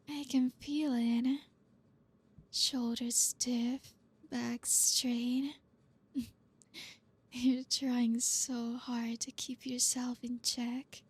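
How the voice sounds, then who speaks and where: A woman playing a flirty, dominant boss in an ASMR roleplay, teasing and commanding in a soft, deliberate, often whispered voice. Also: fairly high pitch, breathy edge, exaggerated voice. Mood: teasing, soothing.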